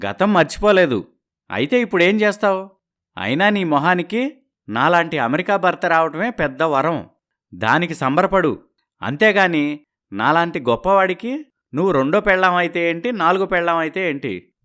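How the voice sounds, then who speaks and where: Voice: medium pitch, animated, normal speed, pauses often, fairly bright tone, lightly acted. An actor voicing an arrogant, scheming husband in a moral story, boastful, sneering and threatening. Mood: arrogant, careless. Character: arrogant, scheming, mocking husband living in America. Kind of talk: dramatized moral story dialogue.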